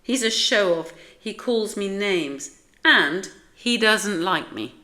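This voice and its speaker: A woman's voice: fairly low pitch, measured pace, close to an everyday voice. A British woman voicing an anxious, plaintive young boy cat who grumbles in a storybook. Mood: complaining.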